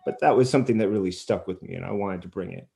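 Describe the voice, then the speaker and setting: Male interviewer, lowish pitch, mellow dark tone, thoughtful, wordy, long reflective questions. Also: rapid-fire, creaky. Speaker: interviewer, podcast host.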